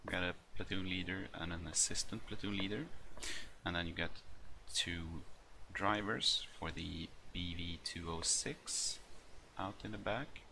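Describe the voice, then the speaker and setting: Brief: man, deep voice, hesitant walkthrough, noisy home recording. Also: steady tone, vocal fry. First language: not English. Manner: hesitant, halting delivery full of ums. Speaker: hobbyist video maker. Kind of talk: casual walkthrough with many fillers.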